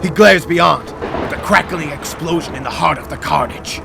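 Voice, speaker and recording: A male narrator telling a radio play dramatically. His voice is high and creaky, set against very loud music. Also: moderate pace.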